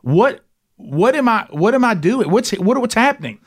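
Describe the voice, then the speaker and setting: A man's voice: high voice, very animated, moderate pace, slightly rough. A man with a Southern US accent hosting a casual podcast, folksy and repeating phrases for emphasis. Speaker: podcast host.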